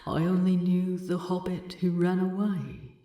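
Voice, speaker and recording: A woman voicing a brooding, wistful male dwarf in a slow, solemn, gravelly voice for a story narration. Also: completely flat delivery, mellow, echoey room, lightly acted. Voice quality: low, gravelly, creaky.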